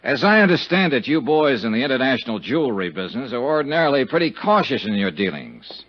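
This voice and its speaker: A wry American private investigator in an old radio drama. His slightly rough voice moves freely up and down as he narrates and questions with clipped sarcasm. The sound is the muffled one of a 1950s broadcast. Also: medium pitch, fast talker. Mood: probing, sardonic. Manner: sardonic, clipped, deadpan. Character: wry, hard-boiled male American insurance investigator. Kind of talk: 1950s radio detective drama acting, with first-person narration. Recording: dull vintage 1950s radio recording.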